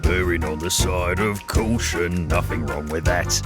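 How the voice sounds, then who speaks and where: An actor playing a gruff, cautious veteran pirate in a musical audio drama, blunt and weary in manner. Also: male voice, sits low, unhurried, over loud music. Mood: reasonable. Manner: blunt, weary, plain-spoken.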